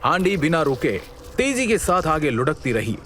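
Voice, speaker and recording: A man with a fairly high voice narrates an audio drama as a scripted story. Light music plays under his dramatic storytelling. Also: very animated, moderate pace, fairly bright tone.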